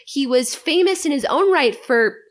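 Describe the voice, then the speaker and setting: A woman's voice: high-pitched, animated, moderate pace. A woman talks through a story as a podcast guest. She is articulate and lightly wry, moving between prepared narration and conversational asides.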